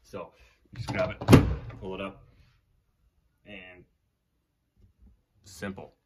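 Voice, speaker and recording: A young man with a deep voice talks casually in a small wooden shack. His delivery stays flat and even, full of fillers and restarts as he shows the place on a handheld phone. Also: extremely slow, very noisy. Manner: relaxed, rambling, frequent restarts and fillers. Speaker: vlogger. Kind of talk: casual walkthrough tour. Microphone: handheld phone camera at arm's length.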